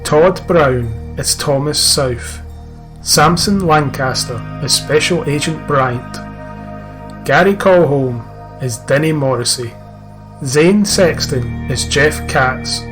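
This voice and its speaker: A man reading scripted cast credits as an announcer, in narration style. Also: a little high.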